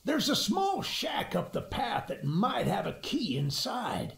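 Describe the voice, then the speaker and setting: A man's voice: sits high, wildly swooping pitch, slow, gravelly, heavily acted. An actor voicing an eager, friendly older monster-hunting man in a video game, with a folksy, theatrical way of talking. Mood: helpful. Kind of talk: video game cutscene acting. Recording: roomy echo with music underneath.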